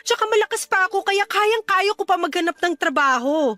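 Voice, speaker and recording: A high-pitched, frustrated grown daughter in a radio drama, pleading politely and trying to reason. Faint music plays behind her. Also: lively intonation, brisk, sharp tone, dry recording, full character voice. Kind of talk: Filipino radio drama acting.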